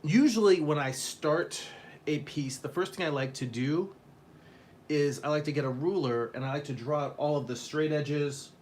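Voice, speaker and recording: Man, slightly high-pitched voice, casual live-stream host, chatty, rambling, demonstrating as he talks. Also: moderate pace, a little mellow, slight background noise. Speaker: comic book artist hosting a drawing live stream.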